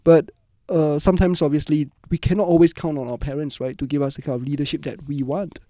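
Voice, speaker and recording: Mellow Singaporean man, fairly high voice. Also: fairly even intonation, fast, no room sound, studio quality. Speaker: podcast host. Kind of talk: reflective conversational interview.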